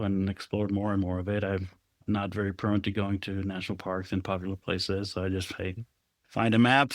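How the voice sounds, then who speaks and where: Middle-aged man from Israel, low voice, dry close sound, reflective storyteller who laughs easily. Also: no echo. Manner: thoughtful, laughs often while telling stories. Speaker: guest photographer. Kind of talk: reflective conversational interview.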